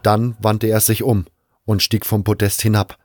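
A man's voice: on the low side, little pitch movement, normal speed, a little mellow, dry recording, clean studio sound, faint music behind. A man reading scripted first-person narration for an audio drama.

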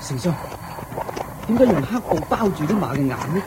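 A man with a Hong Kong Cantonese accent playing an earnest army general devoted to his horses in a radio drama, forceful and full of questions. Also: high-pitched, lively intonation, fairly quick, heavy background noise. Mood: questioning.

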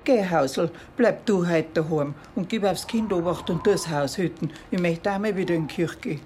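Woman as a low-voiced Bavarian grandmother. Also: normal speed, a little mellow, a little hiss or hum, close to an everyday voice. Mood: matter-of-fact. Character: caring, practical old Bavarian village grandmother. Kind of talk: radio play acting.

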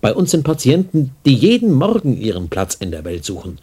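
A man acting a stern, ambitious senior doctor in a German radio drama, husky and commanding, stressing his words. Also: medium pitch, huge pitch swings, a little fast, mellow, heavily acted. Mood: insistent, lecturing. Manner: commanding, emphatic.

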